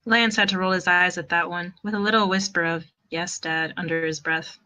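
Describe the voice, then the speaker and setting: A woman's voice: fairly low pitch, a little fast, pushed and bright. A woman narrating fan fiction as a storyteller, in a conversational, wry way.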